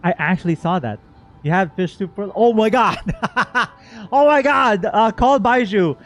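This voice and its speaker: A man hosting a game stream, chatting casually and playfully, given to excited exclamations and rambling asides. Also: high voice, measured pace. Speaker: streamer and host. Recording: music playing behind the voice. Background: game music.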